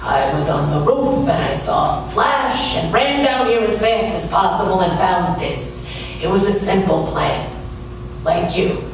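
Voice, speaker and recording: An actor playing a sardonic, world-weary private detective in a hardboiled radio drama. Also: male voice, high voice, a little slow. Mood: dry, defiant. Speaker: actor and narrator. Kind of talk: noir radio drama narration. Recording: echoey room, distant mic, music, amateur sound.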